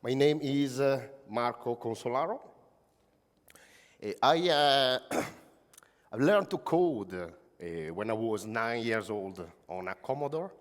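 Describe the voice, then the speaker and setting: A man with an Italian accent giving a conference talk, pausing often with many filler sounds. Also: mid-range voice. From Italy. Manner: halting, full of "uh" fillers. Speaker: conference speaker, technical coach. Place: large conference hall. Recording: long hall reverb.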